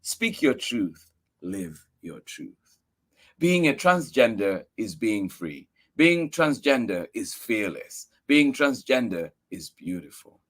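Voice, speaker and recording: Man reading expressively with very lively pitch. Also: takes it slowly. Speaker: storyteller reading a picture book aloud. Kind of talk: expressive read-aloud for children.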